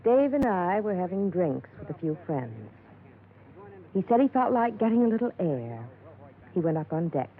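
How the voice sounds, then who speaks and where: An actress playing a cool, evasive, wealthy widow in a 1950s radio drama, with an aloof, curt and impatient way of talking. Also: female voice, fairly low pitch, sing-song, normal speed, narrow, tinny audio. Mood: bored. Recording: thin, band-limited old radio recording.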